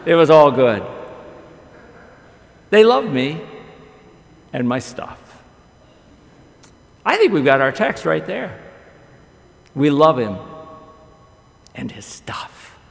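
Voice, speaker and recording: A man's voice: a little high, very animated, slow, gravelly, pauses often. A middle-aged man preaching a chapel sermon at a podium microphone, telling stories with wide gestures and rhetorical questions. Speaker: pastor. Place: large chapel with long reverb. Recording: echoey chapel hall.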